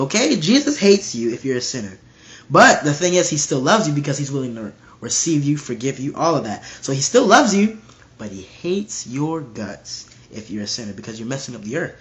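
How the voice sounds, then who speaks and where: A man with a stuffy nose casually reading Bible verses aloud and explaining them in slangy, animated commentary. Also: medium pitch. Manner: animated, slangy, acts out voices.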